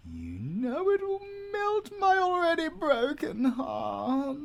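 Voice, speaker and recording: A man's voice: a little high, heavily acted. A man with a British accent playing a werewolf villain in an audio roleplay, crooning indulgently to his lover. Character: doting male werewolf alpha villain.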